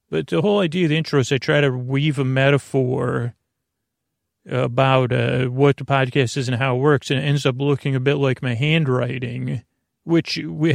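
Male sleep-podcast host: gravelly fry, close dry sound, gentle, reassuring, unscripted and wandering. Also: mid-range voice, no echo. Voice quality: creaky, gravelly. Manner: meandering, halting, trails off into tangents and self-corrections. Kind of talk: rambling, unscripted bedtime podcast monologue.

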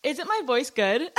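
A woman with a fairly high voice talks at a very leisurely pace. She is a giggly, silly comedy podcast guest, chatting playfully and informally. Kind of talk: playful chat with improvised singing.

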